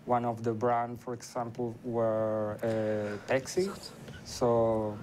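A man, speaking haltingly with many pauses as a panel guest. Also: mid-range voice, flat delivery, darkish tone, slight background noise. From Venezuela. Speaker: international law student.

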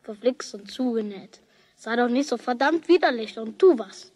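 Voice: mid-range voice, animated, normal speed, a little mellow, dry recording, lightly acted. An actor playing a stubborn, jealous schoolboy in a children's radio play, grumbling indignantly to himself. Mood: frustrated. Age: child figure.

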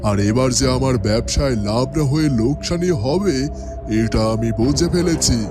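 A man's voice: mid-range voice, moderate pace, over music, close to an everyday voice. A man playing a middle-aged fatherly elder. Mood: stern.

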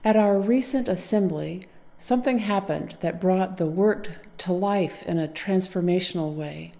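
A middle-aged woman narrating to camera in a measured way, recorded on a lapel mic indoors. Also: lowish voice, dark tone. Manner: slow, measured delivery. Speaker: narrator, council director. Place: indoor room with wall decor.

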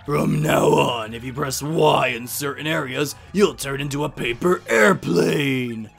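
A man's voice: a little high, gravelly, breathy edge, soft background music, exaggerated voice. A man voicing a gleefully wicked demon from a video game, gloating theatrically as a curse-casting villain in a fan dub. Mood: gloating menace. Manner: theatrical, gloating, drawn-out cackles and shouts. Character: gleefully wicked cursing demon trapped in a box.

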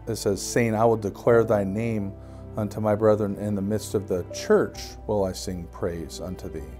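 A man in his thirties sits for an interview and explains scripture in a calm, measured way, reading verses aloud steadily. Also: on the low side. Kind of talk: calm explanatory talk to camera. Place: indoor interview set, seated.

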